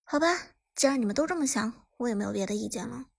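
A woman voicing a hot-tempered male team boss in an audiobook, gruff, curt and grudging. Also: fairly high pitch, animated, fast, no echo, studio quality, exaggerated voice.

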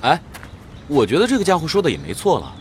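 A man playing a naive, outspoken young human adventurer in a fantasy radio drama, boyish and expressive. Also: a little high, fast talker, a little hiss or hum. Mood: incredulous.

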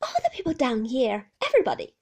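Woman doing a nervous young wife, high voice, breathy, gets all pleady. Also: wildly swooping pitch, darkish tone, full character voice. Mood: aggrieved. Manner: pleading, emotional, quick to protest. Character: shy, anxious young wife.

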